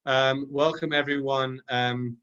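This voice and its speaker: A man's voice: medium pitch, little pitch movement, takes it slowly, a bit bright, clear, resonant tone. A British man from the UK hosting an online event and introducing a speaker, with many ums and restarts. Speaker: webinar host. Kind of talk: introducing speakers on a video call. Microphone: video call. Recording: dull lo-fi video call audio.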